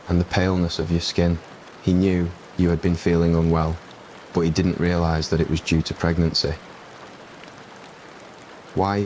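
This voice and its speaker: A man's voice: low-pitched, darkish tone, poor audio. A man reading scripted narration for an ASMR roleplay story. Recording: clear rain noise behind voice. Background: rain ambience.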